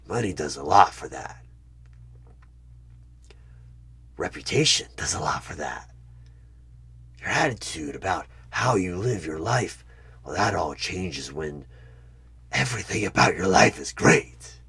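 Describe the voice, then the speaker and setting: A man playing a sinister, smug evil tempter in a horror ASMR roleplay, speaking deliberately in a husky voice with dramatic pauses and frequent whispers. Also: sits low, animated, fairly bright tone, exaggerated voice. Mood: seductive, persuasive. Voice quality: husky, breathy.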